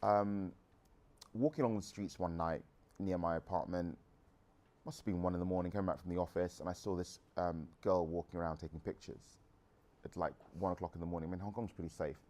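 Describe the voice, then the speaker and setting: A man's voice: deep voice, steady tone, a little fast, darkish tone. A man in his thirties from England, a guest entrepreneur answering audience questions in a frank, rambling way with restarts. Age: 30s.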